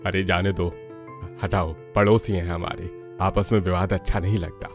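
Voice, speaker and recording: Guy doing mild middle-aged cartoon dad, low soothing talk, bit muffled. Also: little pitch movement, moderate pace, gravelly, soft background music. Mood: placating. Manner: placating.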